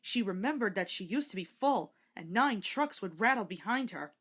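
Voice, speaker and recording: A woman's voice: medium pitch, expressive, normal speed, fairly bright tone, slightly rough. A woman reading a scripted children's story aloud as narrator.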